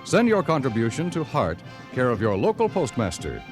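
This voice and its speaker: An American man reading scripted 1950s radio announcements with formal, deliberate enunciation. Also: medium pitch, huge pitch swings. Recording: vintage radio broadcast with music.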